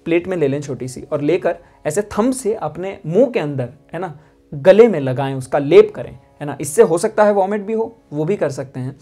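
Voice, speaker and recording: A man's voice: fairly high pitch, animated, normal speed, dark tone, soft background music. Young man teaching vocal care. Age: young adult. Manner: explanatory, keeps asking "है ना?". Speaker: music teacher. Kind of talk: vocal care lesson to camera. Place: indoor studio with instruments.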